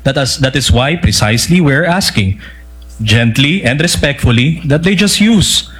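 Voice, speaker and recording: A man's voice: mid-range voice, lively intonation, moderate pace, a bit bright. A man, a defense counsel raising objections in court, speaking formally and insistently and addressing the judge often. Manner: formal, insistent, repeats "Your Honor".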